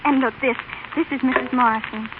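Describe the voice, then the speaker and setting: Casting: actress as well-mannered, affectionate teenage daughter, high girlish voice, American, gushing delivery, vintage radio drama, narrow tinny sound. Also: steady tone, a little fast, lightly acted. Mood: excited. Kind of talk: 1930s-1950s American radio drama acting. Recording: tinny, band-limited old radio broadcast, faint noise.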